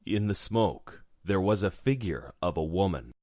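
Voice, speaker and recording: A man's voice: low-pitched, very animated, measured pace, darkish tone, no room sound, clean studio sound. A man narrating a scripted horror story as the narrator of an audio drama.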